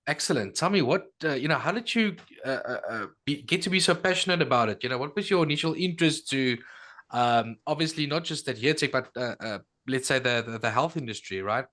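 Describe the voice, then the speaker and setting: A man's voice: mid-range voice, expressive, brisk, bright, forceful tone. A man hosting a podcast interview, polite and conversational, often hesitating and rephrasing as he puts his questions. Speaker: podcast co-host.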